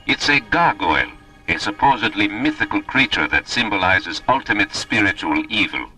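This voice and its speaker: An actor playing a learned professor in a radio drama, explaining in a measured, authoritative, grave way. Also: male voice, fairly low pitch, expressive, pushed and bright, husky, soft background music, heavily acted, rough recording. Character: learned male professor, grave and matter-of-fact scientist.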